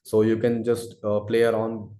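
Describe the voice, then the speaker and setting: A man answering audience questions as a product expert in a live webinar, speaking haltingly and repeating himself as he explains. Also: lowish voice, monotone, warm tone, slight background noise. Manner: halting, repetitive, hedging delivery. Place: indoor room, webinar call. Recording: roomy, echoey sound.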